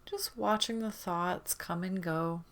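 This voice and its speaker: A woman leading a guided meditation in a gentle, soothing manner, leaving long pauses between phrases. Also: on the low side.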